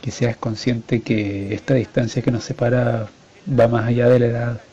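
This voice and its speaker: A man's voice: mid-range voice, little pitch movement, moderate pace, dark tone, lightly acted. A man with a Chilean accent playing a conflicted divorced father in a colloquial, confessional radio drama. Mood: firm, conflicted. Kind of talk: radio drama monologue acting. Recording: slightly dull, lo-fi sound.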